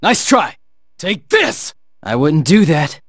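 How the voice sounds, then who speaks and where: A cool, determined teenage swordsman for a video game battle. His delivery is terse and clipped, with very wide pitch swings and long, drawn-out pacing. The voice has a husky grain. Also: no room sound, studio quality, exaggerated voice. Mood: calm, confident. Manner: terse, clipped battle barks and calm taunts. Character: cool, resolute teenage male swordsman summoning spirits in battle.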